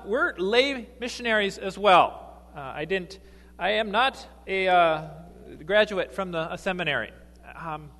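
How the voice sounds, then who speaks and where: Guy giving a sermon, kinda halting, lots of ums, echo of a big church hall. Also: high voice, sharp tone. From grew up partly in Ecuador, then US. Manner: halting, frequent fillers and restarts. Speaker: lay missionary preacher.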